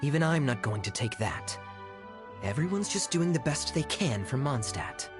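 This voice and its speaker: An actor playing a suave young cavalry captain in a video game, with a smooth, playful, theatrically sly delivery. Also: male voice, mid-range voice, very animated, normal speed, over music, natural voice. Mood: coaxing. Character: suave, teasing, silver-tongued young cavalry captain, male. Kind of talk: video game character dialogue.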